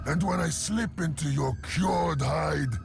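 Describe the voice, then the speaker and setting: Orc skinner for a game: proud sadist, fussy, gravelly, slow relishing delivery. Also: male voice, a little high, heavily acted. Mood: proud menace. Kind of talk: video game character acting. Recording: loud music behind the voice.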